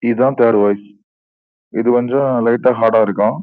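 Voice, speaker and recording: A man's voice: mid-range voice, fairly even intonation, brisk. A man speaking through a slightly hard voice-changer preset, demonstrating the product. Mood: plain, instructive. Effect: electronic voice changer. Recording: phone-like audio with faint noise, clicks.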